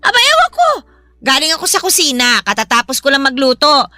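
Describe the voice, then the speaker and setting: High, sharp-voiced nagging Filipino mother-in-law. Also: wildly swooping pitch, fairly quick, slight background noise, full character voice. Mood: suspicious, nagging. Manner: scolding, sarcastic, blunt. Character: hot-tempered, suspicious, nagging older mother-in-law. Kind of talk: radio drama acting.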